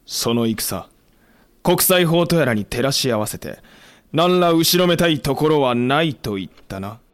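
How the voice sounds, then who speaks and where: A proud, blunt samurai warrior, played by a man in a historical audio drama. He speaks at a medium pitch, terse and questioning. The sound is dry, with no room around it. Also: lively intonation, a little slow, no room sound, natural voice. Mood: blunt, challenging.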